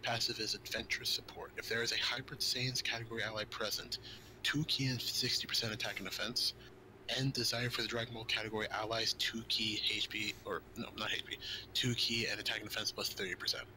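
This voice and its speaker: A man's voice: lowish voice, level tone, fairly quick, background noise. A man co-hosting casual gaming news, chatting and reading out card stats. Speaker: co-host reading game card translations.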